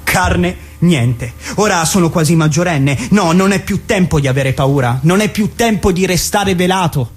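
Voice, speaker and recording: A man's voice: sits high, normal speed, hardly any pauses, faint music behind, natural voice. An actor playing a brash, lovestruck boy of nearly eighteen in an audio drama, declaiming in rhymes and wordplay. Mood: bold, confessional.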